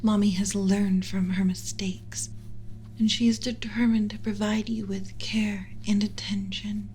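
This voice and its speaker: A woman voicing a doting alien scientist mother in a soft, breathy ASMR whisper, speaking with slow, soothing, formal tenderness. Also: mid-range voice, little pitch movement, slight background noise, lightly acted, low-quality recording. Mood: reassuring. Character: doting female alien scientist mother, gently possessive of human pet.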